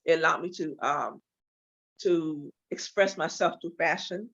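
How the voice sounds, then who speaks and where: A woman's voice: deep voice, unhurried, vocal fry, husky. A woman in her forties from Los Angeles, a hair and makeup artist guest telling her story openly in a casual interview. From Los Angeles, California. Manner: open, storytelling, animated at times. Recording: dull lo-fi audio.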